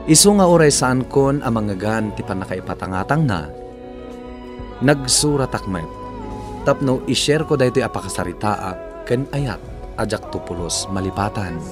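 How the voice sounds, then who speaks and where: A man's voice: medium pitch, lively intonation, unhurried, long pauses. A Filipino man reading listeners' letters as host in scripted radio drama narration. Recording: prominent music under voice.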